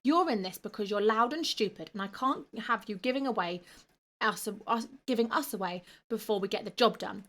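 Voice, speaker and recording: A woman's voice: mid-range voice, expressive, normal speed, a bit bright. A woman voicing a bad-tempered, scheming boy who snaps and hisses his lines in a storybook reading. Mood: sneering. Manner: curt, snapping, hissing.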